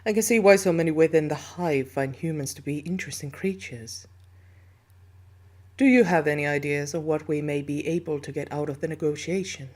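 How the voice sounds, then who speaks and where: A commanding alien negotiator from a hive, acted by a woman, in a sci-fi audio drama. She is composed and calculating, with a low voice for a woman and formal, deliberate phrasing. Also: a little mellow. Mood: intrigued, calculating. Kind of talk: scripted sci-fi audio roleplay.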